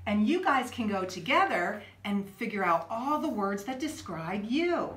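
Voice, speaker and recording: A woman's voice: mid-range voice, big pitch swings, unhurried, a bit bright. A middle-aged woman hosting a children's story time, talking to kids in a teacherly way and prompting with questions. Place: indoors, living room. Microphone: camera a few feet away. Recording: echoey living room.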